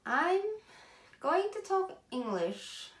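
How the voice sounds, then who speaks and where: Slow-talking Norwegian woman, echoey room. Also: high-pitched, very animated. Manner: chatty, self-deprecating, laughs often, searches for words. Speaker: craft video host. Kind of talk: casual tutorial talk while working.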